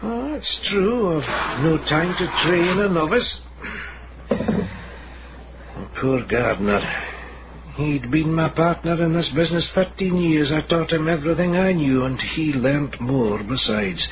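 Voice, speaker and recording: An actor playing a weary, kindly old Scottish coffin maker in a radio drama, with halting, wryly morbid talk. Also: male voice, on the high side, animated. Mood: mournful. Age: older. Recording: dull, noisy radio drama recording.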